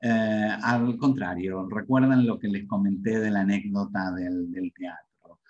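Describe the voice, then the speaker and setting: A man's voice: fairly low pitch, steady tone, measured pace, darkish tone. A middle-aged man with an Argentine Spanish accent, giving an informal explanatory webinar lecture as a language teacher, often checking in with short tag questions. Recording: dull, muffled webinar audio.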